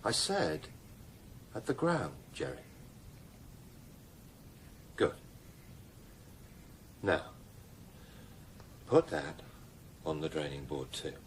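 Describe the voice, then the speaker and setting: A steady, tough British detective in a nineties radio drama. His very deep voice is measured and controlled, matter-of-fact and used to command. Faint rumble sits behind it. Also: exaggerated voice. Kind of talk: 1990s radio drama acting.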